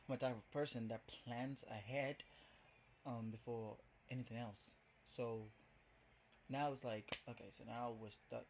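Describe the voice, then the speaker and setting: A man's voice: mid-range voice, a little mellow, slight background noise, poor audio. A young man from Ghana with a Ghanaian accent, rambling casually in a first video blog, full of "like" and "um". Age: young adult. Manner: chatty, rambling, heavy on fillers. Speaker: vlogger. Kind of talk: casual first vlog to camera.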